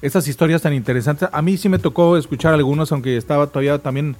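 A man's voice: on the high side, fast, darkish tone. A man with a Mexican accent hosting a morning radio show in casual chat, addressing his co-hosts and listeners conversationally.

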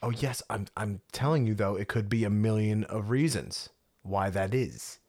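A man's voice: on the low side, normal speed, a little mellow, no room sound, studio quality. Teasing, playful male podcast co-host. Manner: playful, teasing, argues back. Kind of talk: casual chat.

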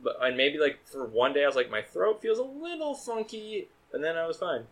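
A man's voice: on the high side, big pitch swings, fairly quick, a bit bright. A young man co-hosting a podcast, chatting casually with enthusiasm and lots of stammered restarts. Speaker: podcast co-host. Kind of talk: casual chat.

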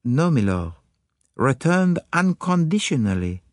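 Audiobook detective: confident, theatrical Belgian, French-speaking accent, raised pitch, very animated, courteous and precise. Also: male voice, measured pace, no room sound. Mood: confident, persuasive. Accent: French-speaking Belgian, put on. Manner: formal, courtly phrasing with French words.

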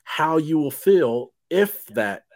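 A man's voice: fairly high pitch, big pitch swings, slow pace, darkish tone. A man in his fifties hosting an interview podcast, talking in a rambling way with many fillers and restarts. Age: 50s. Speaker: podcast host. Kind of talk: casual interview chat.

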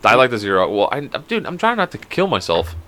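Man co-hosting from home: bright, animated delivery, foul-mouthed, joking. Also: mid-range voice, brisk. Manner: crude, joking, swears a lot. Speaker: podcast co-host. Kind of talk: casual chat.

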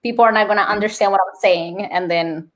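A woman's voice: medium pitch, brisk. A woman with a Colombian accent, a nurse and wellness speaker, explaining her ideas earnestly in a podcast interview. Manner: earnest, explanatory, lists points.

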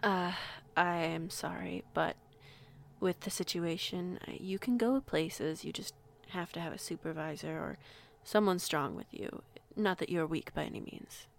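A woman playing a protective female werewolf pack alpha in an audio roleplay, speaking in a measured, polite, reassuring way. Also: fairly low pitch, creaky. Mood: apologetic, reassuring. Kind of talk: audio roleplay acting, conversational.

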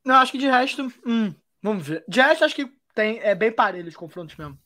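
A woman's voice: fairly high pitch, lively intonation, fast talker, pushed and bright. A Brazilian woman hosting a podcast, chatting about football in a loose, wry way with many restarts. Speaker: podcast host. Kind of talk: casual football chat.

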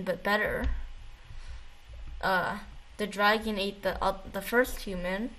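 A woman's voice: mid-range voice, a bit bright, slight background noise, rough recording. A woman chatting casually, retelling things in a rambling, slangy, jokey way. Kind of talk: casual chat.

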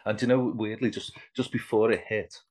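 A man's voice: lowish voice, steady tone, brisk, darkish tone. A man in his fifties, an artist being interviewed, talking casually and rambling with many restarts. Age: 50s. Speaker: artist guest.

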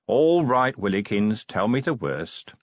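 A man voicing a grumpy, sardonic police commander in an audiobook, with a gruff, dry, sarcastic manner. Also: fairly low pitch, very animated, unhurried, dry recording, lo-fi sound. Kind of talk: audiobook character voice.

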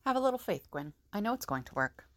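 A woman's voice: mid-range voice, very animated, quick pace, lightly acted. A woman playing a confident, reassuring young prison escapee in an audio drama.

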